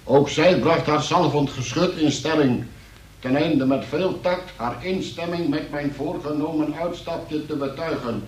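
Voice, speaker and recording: A man playing a wry arrested man, narrating a radio play as a formal statement. His voice is on the high side and deliberate, heard a little distant from the mic in a very roomy recording. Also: natural voice. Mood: wry, mock-formal. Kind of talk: scripted first-person radio play narration.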